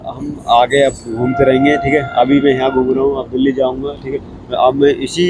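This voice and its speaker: A hoarse young man with a cold, chatting casually to camera as a vlogger and repeating himself often. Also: mid-range voice, little pitch movement, fairly quick, background noise. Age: young adult. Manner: chatty and repetitive, keeps saying okay. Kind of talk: casual vlog talk to camera. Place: railway station platform, outdoors. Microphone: clip-on mic.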